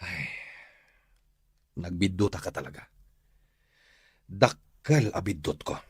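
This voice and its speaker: A man's voice: sits low, animated, slow, husky, no room sound, studio quality, heavily acted. A man playing a weary, exasperated husband in an Ilocano radio drama, grumbling and reproachful. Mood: exasperated, bitter.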